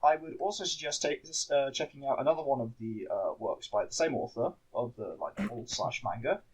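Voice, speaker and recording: A man's voice: medium pitch, lively intonation, a little fast, husky, low-quality recording. A man chatting casually as a podcast host in a matter-of-fact manner.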